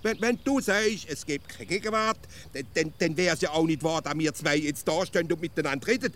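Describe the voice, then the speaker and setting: An actor playing a sceptical, literal-minded Swiss provincial man in a comic radio dialogue, with a Swiss German accent and halting, repetitive phrasing. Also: on the high side, fairly bright tone, a little hiss or hum, natural voice. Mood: sceptical, needled. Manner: halting repetitions, dogged contradicting.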